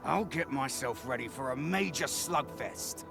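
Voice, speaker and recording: An actor playing a scrappy, hot-headed, blustering male industrial worker in a video game, talking colloquially and excitably. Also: medium pitch, unhurried, vocal fry, over music. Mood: determined, chatty.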